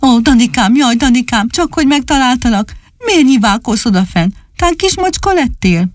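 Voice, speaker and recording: A woman voicing a small, excitable little dog that whines and sulks in a storybook reading. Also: a little high, dry recording, clean studio sound, pitched above the performer's own voice, natural voice. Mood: scolding. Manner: whiny, sulky and excitable.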